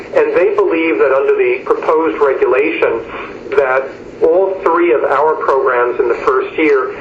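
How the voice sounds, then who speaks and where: Man explaining on an echoey conference line. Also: medium pitch. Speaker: university representative. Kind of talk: work meeting discussion on a conference call. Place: telephone conference call. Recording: dull, echoey conference phone line, faint noise.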